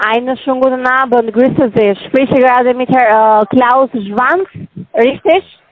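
A woman's voice: sits high, unhurried, dry recording, phone-quality sound. A woman in on a scam call, insulting and combative. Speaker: call center agent.

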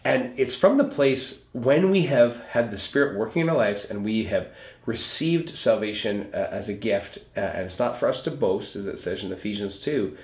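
A man's voice: lowish voice, animated, moderate pace, a little mellow. A man speaking as a pastor, explaining scripture in an earnest, conversational recap of a sermon, repeating points for emphasis. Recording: roomy echo.

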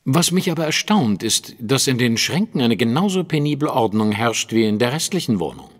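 An actor playing a precise, superior, analytical detective in an audio drama, with a didactic and pointed delivery. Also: male voice, mid-range voice, big pitch swings, a little fast, long reverb tail.

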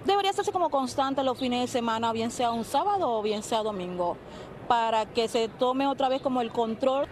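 A woman with a slightly high voice explains her view earnestly in a street interview. A faint wash of traffic noise sits behind her. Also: animated, normal speed. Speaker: restaurant employee.

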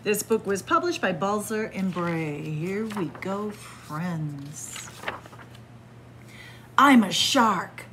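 Woman doing library storytime, unhurried, lively up and down voice, bit of room noise. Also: medium pitch. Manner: expressive, addresses young listeners as friends. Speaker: library story-time reader. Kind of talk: picture-book read-aloud for children. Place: indoors in a library.

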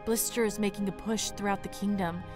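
A woman's voice: mid-range voice, fairly even intonation, fairly quick, over music, lightly acted. A woman playing a tough but motherly female dragon who leads outlaws, firm and resolute, in an audio drama. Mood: serious.

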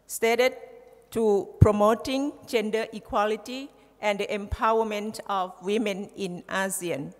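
A woman in her forties from southern Thailand with a Thai accent, giving a formal conference speech at a podium through a headset mic, in a measured, halting way. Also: medium pitch. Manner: measured, halting delivery with restarts. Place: large conference hall, at a podium. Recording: reverberant hall.

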